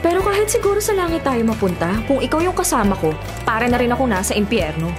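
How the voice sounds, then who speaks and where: A woman's voice: a little high, very animated, fast talker, music in the background. An actress playing a bitter, stubborn young woman in a Filipino radio drama, sarcastic and exasperated. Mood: scornful.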